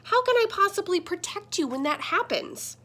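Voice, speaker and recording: A woman's voice: very high voice, expressive, normal speed, pushed and bright, pitched above the performer's own voice, exaggerated voice. A woman voicing a talking computer screen in a children's picture book, a protective mentor who admonishes and instructs emphatically. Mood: exasperated scolding.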